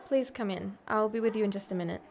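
A woman's voice: mid-range voice, fast talker, creaky, darkish tone, slight background noise, lightly acted. A woman with an Australian accent playing a concerned, polite but insistent school counsellor in a radio drama. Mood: welcoming.

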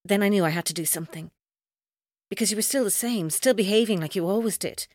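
A woman's voice: mid-range voice, quick pace, no echo, clean studio sound, natural voice. A woman playing a tormented, vengeful captor in a radio drama, with an intense, taunting, accusatory delivery. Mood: cold, menacing.

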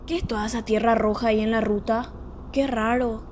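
A woman playing a skeptical, bossy young university student in an audio drama, with a brisk, chiding manner and a Paraguayan accent. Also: a little high, fairly even intonation, darkish tone, slight background noise, natural voice. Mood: puzzled.

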